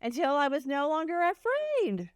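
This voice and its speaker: A woman's voice: sits high, big pitch swings, moderate pace, no echo, studio quality, voice pushed up. A woman voicing a wistful, anxious but determined egg, telling its picture book story earnestly. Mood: nervous, determined. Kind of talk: first-person picture book narration.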